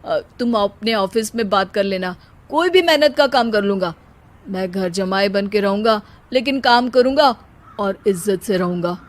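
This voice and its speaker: A woman's voice: medium pitch, moderate pace, pitched below the performer's own voice, lightly acted. Woman voicing a theatrical, lazy young rhyming husband. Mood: contrite. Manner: theatrical poetry recital. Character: lazy young husband spouting rhyming couplets, self-pitying. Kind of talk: cartoon story acting.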